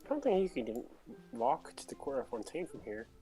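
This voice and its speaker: Animated, mellow-voiced game streamer. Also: mid-range voice, a little fast, faint music behind. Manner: playful, joking. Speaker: livestreamer. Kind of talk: casual gaming stream chat.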